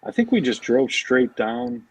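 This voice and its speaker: A man chatting casually as a podcast guest, talking in a loose, halting way. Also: medium pitch, big pitch swings, breathy edge, no room sound, rough recording. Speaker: podcast guest, fishing brand marketing director. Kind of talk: casual chat.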